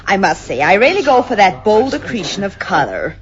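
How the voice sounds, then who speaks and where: A woman's voice: mid-range voice, big pitch swings, lightly acted. A woman playing a genteel, encouraging painting teacher in a radio drama, effusive and polite. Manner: effusive, polite, drawn-out praise. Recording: muffled, crowd noise behind.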